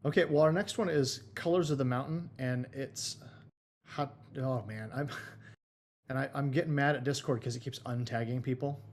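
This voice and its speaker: A man's voice: mid-range voice, moderate pace, darkish tone, slight background noise. A man co-hosting an online photography feedback session in casual chat, easygoing, laughing often and restarting his sentences, heard over a voice call. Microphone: voice chat on a computer.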